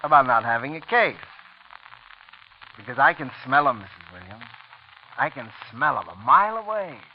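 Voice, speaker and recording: Actor as brash, needling young prosecutor, old radio. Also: male voice, medium pitch, expressive, normal speed, lightly acted. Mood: smug, probing. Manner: blunt, smug, needling. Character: clever, brash, unscrupulous young male assistant district attorney. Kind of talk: 1940s radio drama acting. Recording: muffled, noisy vintage radio recording.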